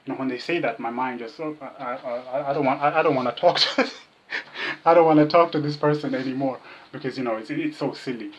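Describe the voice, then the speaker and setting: A man's voice: medium pitch, fairly quick. A man from Kenya talking casually as an interview guest, repeating himself and jokingly mimicking the people he argues with. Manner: jokey, repetitive, mimics opponents' remarks. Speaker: vegan activist, interview guest. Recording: dull, muffled lo-fi sound.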